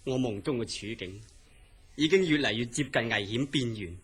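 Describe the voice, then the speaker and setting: A man's voice: medium pitch, animated, normal speed. A man playing a terrified, melodramatically pleading mental patient haunted by nightmares in a Cantonese horror radio drama. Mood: fearful, brooding. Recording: clearly noisy audio.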